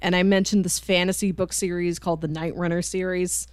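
A woman's voice: lowish voice, a little fast, clear, resonant tone, no room sound. Chatty woman podcaster, dry close sound. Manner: animated storyteller, acting out quoted lines informally. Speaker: podcast co-host reviewing books. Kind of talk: casual conversational book discussion.

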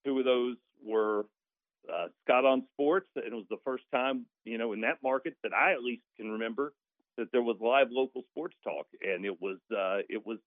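A man's voice: medium pitch, moderate pace, dry recording. A man who works as a sports announcer, chatting casually as a radio guest over a phone call. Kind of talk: casual chat, reminiscing. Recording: narrow tinny phone line, dry.

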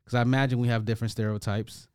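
A man's voice: medium pitch, level tone, very fast, a little mellow, smooth, no room sound, clean studio sound. A young man from Detroit co-hosting a podcast, chatting casually and laughing as he tells stories. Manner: laid-back, laughs often, quotes friends in slang. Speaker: podcast co-host. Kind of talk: casual chat.

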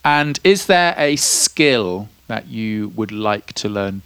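A man's voice: lowish voice, expressive, takes it slowly, fairly bright tone, smooth, dry recording. Chatty British man hosting a podcast. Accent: British English. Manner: chatty, encouraging, asks tag questions. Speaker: podcast host. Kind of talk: friendly interview chat. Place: indoor room at a youth music week.

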